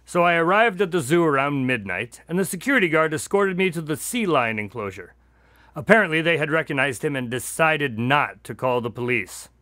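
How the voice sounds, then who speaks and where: An exasperated, dutiful friend in a radio drama. His fairly high male voice swings widely between dry storytelling and scolding, and it is recorded close and dry. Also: lively intonation, fairly quick, pushed and bright, dry recording. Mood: alarmed, scolding.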